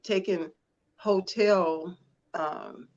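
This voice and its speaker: A woman's voice: fairly low pitch, extremely slow, darkish tone. A woman hosting an interview show, asking questions in a casual, rambling way with restarts. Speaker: host. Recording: dull lo-fi sound.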